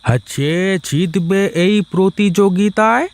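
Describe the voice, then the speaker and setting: A man voicing an elderly village father-in-law in a cartoon, with a slow, drawn-out delivery. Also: high voice. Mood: calm, sleepy. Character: elderly village father-in-law, judging his sons' contest. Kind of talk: cartoon voice acting.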